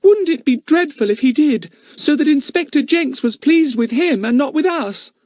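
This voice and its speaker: A man voicing a small, worried little girl who speaks in an anxious, high voice. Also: lively intonation, normal speed, darkish tone, dry recording, clean studio sound.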